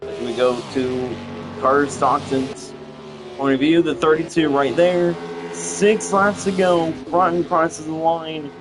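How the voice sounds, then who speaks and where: A young man gives slow, deliberate play-by-play for a racing game in a slightly high voice, often stopping to restart his phrases. Engine noise and clear music run underneath him. He sounds like an amateur calling the race from home. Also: steady tone, rough recording. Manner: halting, repeats phrases, restarts. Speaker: race commentator.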